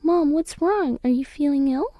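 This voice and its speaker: A woman's voice: sits high, moderate pace, warm tone, no echo, clean studio sound, voice pushed up, lightly acted. A woman voicing an earnest young schoolgirl in a storybook narration, anxious, caring and pleading with urgency. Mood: worried.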